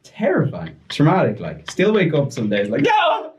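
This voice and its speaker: A young man telling a story casually on a podcast, animated and breaking into voices as he retells it. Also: a little high, moderate pace, slight background noise. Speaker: podcast guest.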